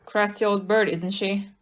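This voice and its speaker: A woman playing a brisk, no-nonsense police inspector in an audio play, speaking matter-of-factly. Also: medium pitch. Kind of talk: amateur audio play acting.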